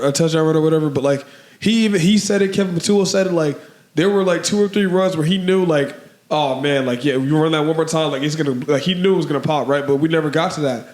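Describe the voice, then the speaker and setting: A man co-hosting a podcast, slightly hoarse from allergies, rambling through casual sports talk with lots of "man" and "bro". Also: a little high, a little mellow, roomy sound. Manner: rambling, filler-heavy, says "man" and "bro". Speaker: podcast co-host.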